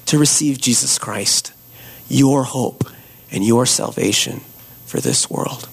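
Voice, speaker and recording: A hoarse man preaching a Christmas Eve sermon and leading prayer as a pastor, slow and earnest. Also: a little high. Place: church.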